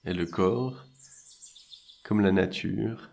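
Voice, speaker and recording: A man guiding a meditation in a soothing, measured way, leaving long pauses between short phrases. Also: on the low side, gravelly, a little mellow. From French-speaking Switzerland. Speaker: meditation and hypnosis guide. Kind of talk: guided meditation narration.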